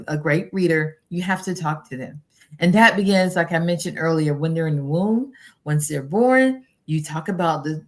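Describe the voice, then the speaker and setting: A middle-aged woman speaking as a guest expert, giving earnest, instructive advice in a conversational interview style. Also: lowish voice. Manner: earnest, instructive, listing practical tips.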